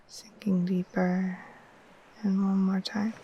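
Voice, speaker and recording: A woman gently guiding a sleep meditation in a soft, breathy, half-whispered voice, soothing and unhurried. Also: medium pitch, a little hiss or hum. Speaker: yoga nidra guide. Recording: faint steady wash of ocean waves.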